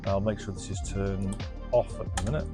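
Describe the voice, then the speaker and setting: A man's voice: low voice, fairly even intonation, normal speed, over music. A British man giving an informal, unscripted hands-on product demo and review, explaining as he goes, recorded on a body-worn mic in a garage. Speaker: host of retro gadget restoration channel, demonstrating a product. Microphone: body-worn microphone.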